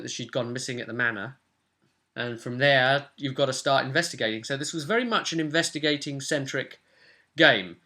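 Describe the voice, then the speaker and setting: Game master, young man, British, bright voice, casual tabletop session recap. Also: mid-range voice. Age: young adult. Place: small cluttered room at home.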